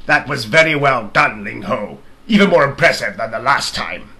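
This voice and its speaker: A man puts on an evil storybook king with a gravelly, sharp-edged voice. He is pompous and deliberate, ruthless beneath his mock kindness. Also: medium pitch, expressive. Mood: cold menace. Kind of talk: storybook character reading.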